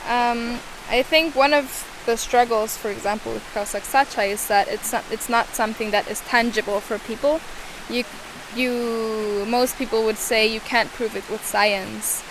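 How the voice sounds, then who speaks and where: A woman's voice: fairly high pitch, fairly even intonation, normal speed, hardly any pauses, background noise. A young woman with another first language than English, answering interview questions thoughtfully and searching for her words. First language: not English. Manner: hesitant, searching for words, many restarts.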